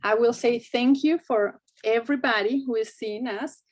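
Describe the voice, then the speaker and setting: Colombian woman, 30s, native Spanish, slight German edge, higher voice, cheerful and chatty guest. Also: moderate pace. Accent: Colombian Spanish, some German. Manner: cheerful, chatty, searches for words. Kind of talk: video call interview. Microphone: video call webcam.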